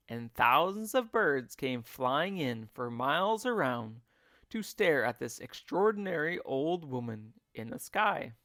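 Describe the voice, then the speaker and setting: Animated, slow-paced male storybook reader. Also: medium pitch, dry recording, clean studio sound. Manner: deliberate, theatrical storytelling. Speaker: teacher reading a children's book aloud. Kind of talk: expressive storybook narration.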